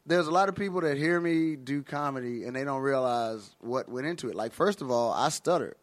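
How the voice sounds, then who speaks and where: A man with an American accent telling casual, comedic stories in animated, profane slang as a guest comedian. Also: medium pitch, a little fast, no echo.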